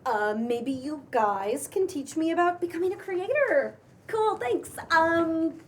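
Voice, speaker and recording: A woman plays an anxious young woman, new to the group and eager to fit in. The voice is high and very lively, with a hesitant, halting delivery in a table read, over faint noise. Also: heavily acted. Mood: awkward, hesitant. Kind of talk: table read of a pilot script.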